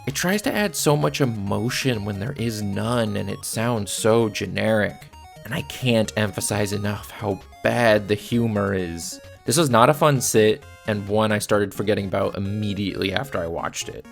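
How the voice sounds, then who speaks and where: A man's voice: fairly low pitch, moderate pace, gravelly, soft background music. A man giving scripted film review commentary in a blunt, sardonic manner. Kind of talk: scripted video essay commentary.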